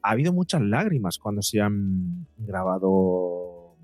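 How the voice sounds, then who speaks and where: A man's voice: low-pitched, unhurried, darkish tone, dry recording, soft background music. Spanish man explaining, in a dry close recording. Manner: explains with examples and repeats words for emphasis. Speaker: psychologist and podcaster, interview guest. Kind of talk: explanatory conversational interview talk.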